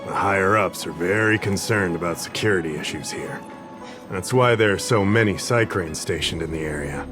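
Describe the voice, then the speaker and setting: Man playing an easygoing, low-voiced official. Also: moderate pace, music in the background, close to an everyday voice. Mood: explaining. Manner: conversational, slightly absent-minded, explanatory. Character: affable, easygoing official of an investigation commission. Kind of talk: video game character acting.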